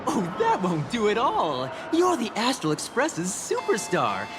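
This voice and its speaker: A woman's voice: mid-range voice, huge pitch swings, measured pace, over music. A woman playing a devout, effusive recruiter for a happiness society in a video game, speaking formally and preachily. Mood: fervent. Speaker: recruiter for a mutual aid society. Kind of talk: theatrical video game dialogue.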